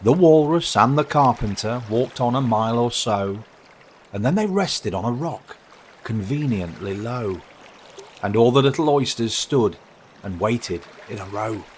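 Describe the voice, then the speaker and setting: A man reading narrative verse aloud for children as a storyteller, expressive, measured and dramatic. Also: medium pitch, a little hiss or hum.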